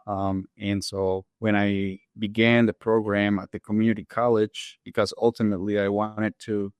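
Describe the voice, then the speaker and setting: A man's voice: lowish voice, clean tone, dry recording, clean studio sound. Earnest man. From Latin America. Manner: hesitant, many fillers, earnest. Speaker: guest, engineer. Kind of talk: interview answers.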